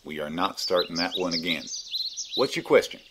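A man's voice: sits low, moderate pace, background noise. A man playing an unflappable, obtuse and folksy rustic in an absurdist radio comedy. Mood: cheerful. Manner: folksy, deadpan wordplay. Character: obtuse, unflappable rustic country buddy, cheerfully pedantic.

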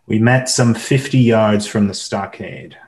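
A man acts as the storyteller of an adventure radio drama. He narrates dramatically from a script in a fairly low voice. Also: measured pace, darkish tone. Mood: storytelling.

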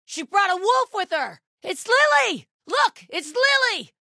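A fierce female cult warrior from a video game. Her voice is very high and bright, with slow, weighty delivery. She commands and accuses with a zealot's force. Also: sing-song, gravelly, no room sound, clean studio sound, full character voice. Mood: vengeful, accusing. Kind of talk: video game combat barks.

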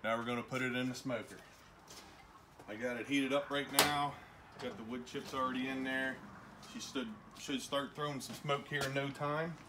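A man's voice: mid-range voice, fairly even intonation, normal speed. Folksy man filming outdoors in wind. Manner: folksy, joking, plainspoken. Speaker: home cooking vlog host. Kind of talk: casual cooking show chat. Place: outdoors by a smoker in wind and snow. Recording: distant phone audio with wind noise.

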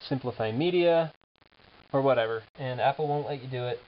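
A man's voice: medium pitch, brisk, slight background noise. Man in casual demo, dull lo-fi sound. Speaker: app developer presenting a demo. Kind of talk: informal software demo narration. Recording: dull lo-fi camera audio, music behind.

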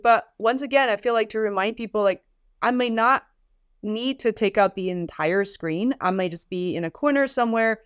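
A woman's voice: mid-range voice, a bit bright, clear, resonant tone, no room sound. Tutorial host, woman in her thirties, native Mandarin speaker, upbeat and chatty, dry close mic. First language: Mandarin Chinese. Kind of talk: software tutorial. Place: home studio room.

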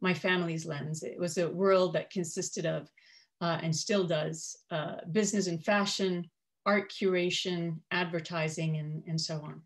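A woman in her forties from Kuwait speaking on a panel, telling her personal story in a measured, articulate way. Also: fairly low pitch, steady tone. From Kuwait, of Syrian parents. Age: 40s. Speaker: panel speaker, coach and media professional. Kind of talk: personal storytelling in a prepared talk.

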